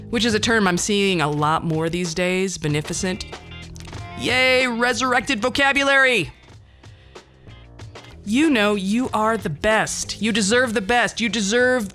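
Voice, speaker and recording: Bright-voiced woman telling podcast stories. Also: medium pitch. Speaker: podcast host. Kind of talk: comedic personal storytelling podcast.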